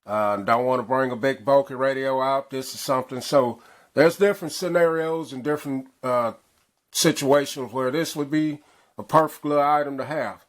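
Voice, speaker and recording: A middle-aged man casually reviewing a pocket radio, rambling along with frequent pauses and fillers. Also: medium pitch. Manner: relaxed, rambling, frequent uhs. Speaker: gadget reviewer.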